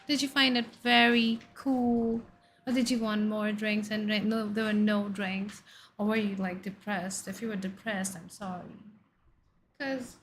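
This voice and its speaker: A woman from India with an Indian accent, a VTuber in her thirties chatting casually with her viewers and firing off questions and loud exclamations. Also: fairly high pitch, slight background noise. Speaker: VTuber streamer. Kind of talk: casual chat reading viewer messages. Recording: faint background music under voice.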